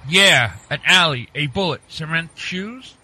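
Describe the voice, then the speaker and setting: A man's voice: a little high, expressive, measured pace, background noise. A man playing a wary, skeptical bowler pal with a wry manner in a comedic audio drama.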